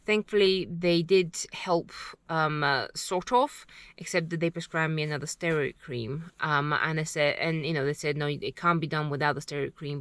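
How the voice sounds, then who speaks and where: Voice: medium pitch, fairly bright tone, smooth, no echo. A van-life vlogger, speaking candidly to camera in an earnest, thinking-aloud way, often searching for words. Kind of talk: candid personal talk to camera.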